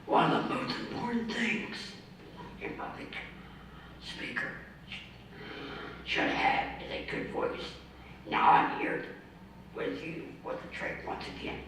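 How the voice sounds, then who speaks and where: A man in his thirties from Ohio with a raspy, mostly whispered voice from vocal cord damage, reading a personal speech slowly and methodically with restarts. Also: very high-pitched, sing-song, a bit bright. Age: 30s. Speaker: award speaker, ordained minister. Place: community center hall. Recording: echoey hall from far off, faint noise.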